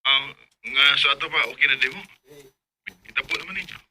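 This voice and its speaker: Forceful, bright-voiced young Bisaya suitor. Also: male voice, mid-range voice, fairly quick, breathy edge, poor audio. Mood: earnest, lovelorn. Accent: Bisaya, Philippines. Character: earnest young male suitor, hopeful and tentative. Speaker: radio drama actor. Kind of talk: Bisaya radio drama acting.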